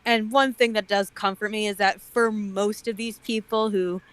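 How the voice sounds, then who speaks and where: A woman's voice: mid-range voice, fairly even intonation, normal speed, a bit bright, no room sound. A woman co-hosting a casual comedic chat, with dry, wry asides. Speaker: commentary co-host.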